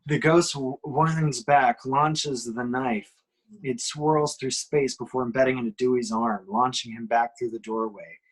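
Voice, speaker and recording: A man's voice: medium pitch, a little slow, low-quality recording. A man giving emphatic, suspenseful scripted narration as he reads action descriptions at an amateur table read.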